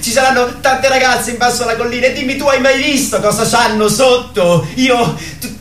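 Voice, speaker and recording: An excitable, very high-voiced teenage boy. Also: moderate pace. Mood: giddy curiosity. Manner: giddy, repeats words, rambling. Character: excitable naive teenage boy, boastful and girl-curious, riding downhill. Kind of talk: theatrical radio drama monologue. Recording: roomy, distant, faint background noise.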